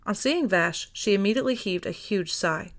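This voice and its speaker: A woman's voice: medium pitch, animated, normal speed. A woman reading scripted fiction as an audiobook narrator.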